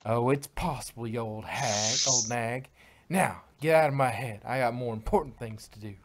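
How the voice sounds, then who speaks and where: A man's voice: medium pitch, normal speed, background noise, close to an everyday voice. A man voicing a stubborn, tough female farm pony with a folksy drawl. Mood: defiant. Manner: folksy, defiant. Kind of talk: fan fiction reading.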